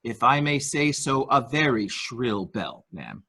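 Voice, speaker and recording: Man acting a primly servile manservant, lively hesitant delivery. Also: mid-range voice, takes it slowly, slightly rough, exaggerated voice. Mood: nervously formal. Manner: hesitant, obsequious, formal. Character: deferential male nurse-attendant to a rich invalid, primly servile. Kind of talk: dramatic reading of a murder mystery.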